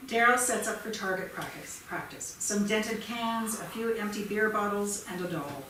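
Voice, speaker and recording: A woman's voice: medium pitch, animated, normal speed, bright, forceful tone. A woman narrating scripted stage directions at a staged play reading. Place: theatre stage before the mic at a distance. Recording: echoey theatre, distant mic, clear noise.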